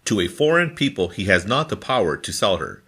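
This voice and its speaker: A man reading scripture from a formal translation in a deliberate, phrase-by-phrase manner. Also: sits low, big pitch swings, sharp tone. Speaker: scripture reader.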